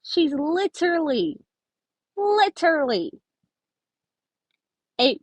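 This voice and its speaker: Woman playing an earnest, caring teenage boy, fairly high voice. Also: very animated, takes it slowly, no room sound, exaggerated voice. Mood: exasperated, stern. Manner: exasperated, earnest, pleading. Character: stern but caring popular teenage boy.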